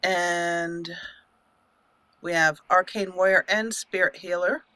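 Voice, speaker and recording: A woman's voice: on the low side, fairly even intonation, slow pace, bright, forceful tone, no room sound. A woman hosting a casual let's play, chatting playfully with mock indignation and mild joke swearing. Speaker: gaming channel host.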